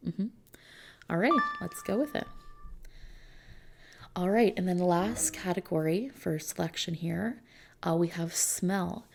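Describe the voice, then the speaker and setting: A woman doing an ASMR roleplay as a customization consultant, talking softly and unhurriedly to a customer in a gently explanatory, reassuring way. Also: lowish voice, takes it slowly, gravelly, long pauses, soft background music. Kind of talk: ASMR roleplay, soft customer-service talk.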